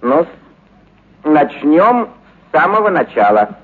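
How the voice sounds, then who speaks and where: A slow-talking fussy animal trainer in a radio play, coaxing n exclaiming, muffled tinny sound. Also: male voice, on the high side, sing-song, slight background noise. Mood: coaxing, instructing. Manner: exclaiming, coaxing, repeating commands. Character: kindly eccentric animal trainer, bossy yet affectionate with his beasts. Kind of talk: theatrical radio story acting. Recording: muffled narrow-band radio recording.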